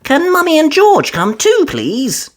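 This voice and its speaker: Storybook piglet girl, played by a woman: very high, swooping, eager and exclaiming. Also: huge pitch swings, unhurried, heavily acted. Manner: bright-eyed eagerness, exclaiming. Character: excitable, eager little girl pig.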